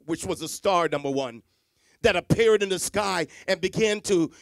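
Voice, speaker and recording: A middle-aged man from Jamaica preaching a Christmas sermon on a church stage into a handheld mic, swinging from hushed, drawn-out lines to loud, repeated emphasis. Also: high voice, creaky, dry recording. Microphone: handheld microphone.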